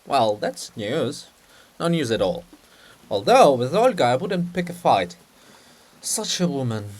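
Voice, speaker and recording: A man's voice: mid-range voice, sing-song, measured pace, a little mellow. A man voicing a weary, grumpy old statue of a poet in a play reading, with an emphatic, querulous grumble. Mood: grumbling, probing.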